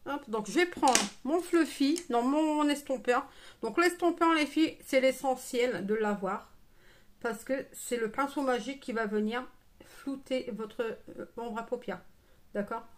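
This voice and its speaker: A woman with a fairly high voice hosts a casual beauty live stream. She talks to her viewers in a chatty, familiar way, mixing step-by-step explanation with playful asides. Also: measured pace.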